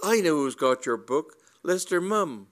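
A man voicing a nervous, craven young gentleman in a comic story, flustered, stammering and vehement as he bluffs. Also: a little high, very animated, measured pace, pitched above the performer's own voice. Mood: urgent, accusing.

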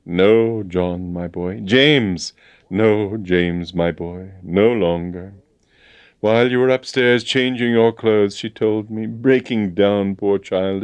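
A bluff, sentimental old colonel, acted out by a male storybook reader. He speaks in halting, repetitive, old-fashioned courtly phrases, blustering one way and wistfully noble the next. The recording is dry and close. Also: on the low side, smooth, no echo. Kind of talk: dramatised storybook reading.

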